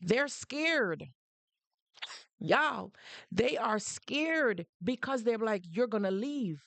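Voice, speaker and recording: A woman's voice: fairly high pitch, lively intonation, extremely slow, fairly bright tone, no echo. Jamaican woman talking straight to viewers. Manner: emphatic, repeats phrases, greets viewers mid-sentence. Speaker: livestream host. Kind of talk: casual livestream talk to viewers.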